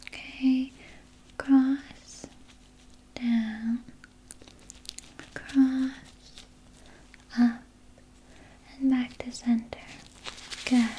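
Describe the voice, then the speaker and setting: A woman voicing a soothing, sly vampire nurse in a gentle, breathy ASMR whisper, reassuring a patient with small hesitant pauses. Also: fairly high pitch, a little hiss or hum, low-quality recording. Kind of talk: ASMR personal attention roleplay.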